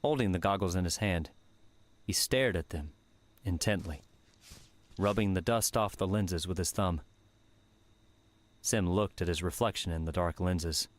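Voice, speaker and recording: Deep-voiced male audiobook narrator. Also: dry recording. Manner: measured, steady storytelling. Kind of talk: scripted narration. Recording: music bed under the voice.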